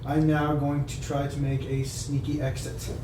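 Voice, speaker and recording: A man's voice: a little high, completely flat delivery, moderate pace, dark tone, slight background noise. A man playing a tabletop game, talking casually as he decides what his character does. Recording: very echoey room, slightly distant.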